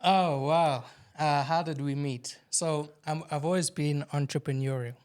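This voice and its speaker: A man's voice: fairly high pitch, fairly even intonation, a little slow, a little mellow, smooth. A man from Durban with a South African accent, a podcast guest candidly telling his story with some jokes.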